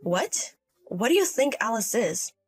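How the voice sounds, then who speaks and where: A woman's voice: on the high side, very animated, measured pace, husky, soft background music, heavily acted. A woman voicing a stern older mother and grandmother in a story drama, scolding and firm. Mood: scolding, exasperated. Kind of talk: acted dialogue in a narrated drama story.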